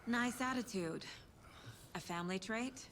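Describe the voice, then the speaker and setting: A woman's voice: fairly high pitch, a little slow. A woman playing a determined, worried mother and federal agent in a video game, urgent and intense. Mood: dry, businesslike. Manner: urgent, intense, dropping to whispers. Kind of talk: dramatic video game acting. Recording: loud ambient noise behind the voice.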